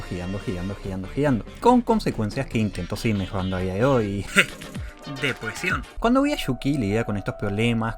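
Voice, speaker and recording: A young Argentine man reads a scripted video essay in a lively, animated voice that swings widely in pitch. His speech impediment drops one sound. Faint music plays underneath. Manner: lively, expressive delivery with wide pitch swings.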